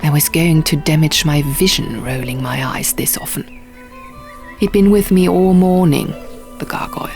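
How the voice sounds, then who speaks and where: A woman's voice: low-pitched, moderate pace, darkish tone. A woman reading scripted first-person fantasy fiction as an audiobook narrator. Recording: music behind. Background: music.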